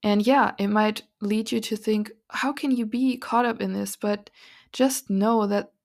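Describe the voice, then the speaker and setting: A woman hosting a spiritual podcast, talking in an earnest, encouraging, rambling way with a raspy voice. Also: mid-range voice, steady tone, measured pace, darkish tone. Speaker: podcast host and psychic reader. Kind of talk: casual spiritual podcast monologue.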